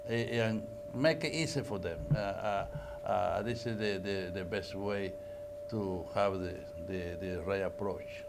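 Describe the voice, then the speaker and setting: A man answering haltingly at a press conference, repeating words as he searches for them. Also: low-pitched, vocal fry. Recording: loud background hum.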